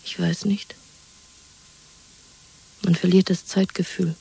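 A hollowed-out woman after torture in a radio drama. Her voice is very husky and breathy, with a creaky, muted tone. She speaks in detached, resigned statements. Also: fairly low pitch, flat delivery, takes it slowly, warm tone, full character voice, low-quality recording. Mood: numb, resigned. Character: broken, emptied-out woman released after interrogation and torture. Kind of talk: 1970s radio drama acting.

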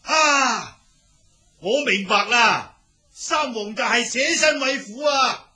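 A hearty eldest prince in a radio drama. His voice is high for a man, bright and forceful, with a theatrical, exclaiming delivery. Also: animated, slow, exaggerated voice. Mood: worried, shocked. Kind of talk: Cantonese radio drama acting.

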